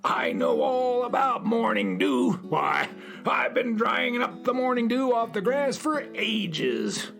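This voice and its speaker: Gravelly grumpy old sun. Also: female voice, fairly high pitch, wildly swooping pitch, fairly bright tone, soft background music, exaggerated voice. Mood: indignant. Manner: scolding, indignant, drawn-out. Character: grumpy old male sun, cranky and scolding. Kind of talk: children's radio play acting.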